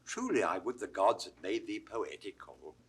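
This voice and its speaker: An actor playing a witty, bawdy court jester with mock-pompous relish in a 1960s Shakespeare audio drama. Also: male voice, low voice, big pitch swings, normal speed, fairly bright tone, slightly rough, heavily acted. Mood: teasing, mock-learned. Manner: theatrical, wordplaying, mock-pompous.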